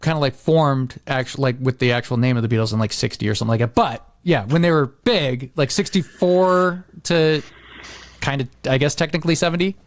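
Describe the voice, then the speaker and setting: A man's voice: mid-range voice, fairly quick, no room sound. A man in casual podcast conversation, telling stories informally and acting out quotes as he goes. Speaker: podcast host.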